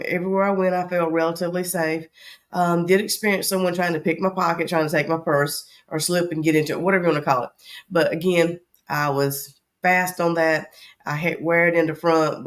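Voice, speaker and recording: A woman with a Southern accent hosting a travel vlog in casual chat, her voice scratchy from allergies and her talk rambling with frequent ums. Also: lowish voice. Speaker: travel vlogger host.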